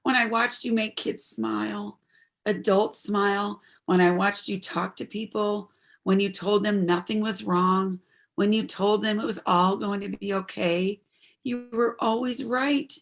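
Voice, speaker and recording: A woman's voice: mid-range voice, steady tone, measured pace, lightly acted. A woman playing an earnest, troubled daughter in her twenties in a stage drama, speaking in a heartfelt, pleading way. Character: earnest, troubled 26-year-old daughter, medical student. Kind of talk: stage play dialogue, audio performance.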